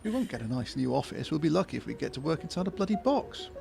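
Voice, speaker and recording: Man acting a grumpy British office grouch, creaky voice. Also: fairly high pitch, big pitch swings, quick pace, music in the background, heavily acted. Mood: sardonic. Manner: grumbling, sardonic, sweary. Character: grumpy, sarcastic man working in a small-town office. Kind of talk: comedy audio drama acting.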